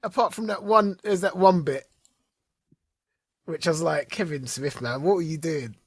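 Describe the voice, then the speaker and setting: A man's voice: fairly high pitch, moderate pace, breathy edge, dry recording. A man co-hosting a podcast, chatting casually and quoting scenes playfully with laughter. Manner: lively, laughing, quotes scenes with playful emphasis. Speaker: podcast co-host. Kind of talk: casual chat.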